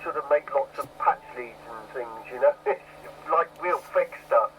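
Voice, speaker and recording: A man's voice: mid-range voice, normal speed. An agreeable man chatting casually over CB radio, often repeating himself. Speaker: amateur CB radio operator. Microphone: CB radio set. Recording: dull, lightly hissy CB radio.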